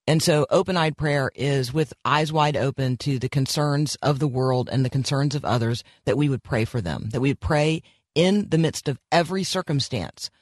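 A man's voice: medium pitch, moderate pace, dry recording, clean studio sound. A man hosting a morning radio show, speaking in an earnest, encouraging devotional tone with a slightly froggy voice and frequent ums and restarts.

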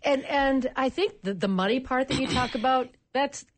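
An American woman, a radio guest, telling a heartfelt story in an animated, emotional way. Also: high voice, moderate pace, dry recording. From United States. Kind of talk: interview storytelling.

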